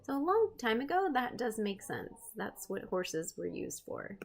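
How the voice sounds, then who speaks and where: A woman hosting an art read-aloud, expressive and playful, with chatty, self-deprecating asides to her viewers. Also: fairly high pitch, unhurried, creaky. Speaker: host, art teacher reading a picture book aloud.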